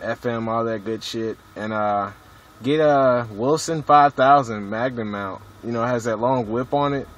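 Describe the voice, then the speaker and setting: A man's voice: mid-range voice, a bit bright. Laid-back man chatting on CB, background noise. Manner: laid-back slangy talk with swearing and CB jargon. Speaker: CB radio hobbyist filming his radio chat. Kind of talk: casual two-way radio chat. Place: inside a vehicle at a truck stop. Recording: noisy mobile recording.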